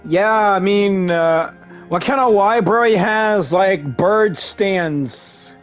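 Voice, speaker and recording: A man's voice: sits high, takes it slowly, soft background music. A man giving casual gameplay commentary as a gaming host, chatting back to the characters on screen.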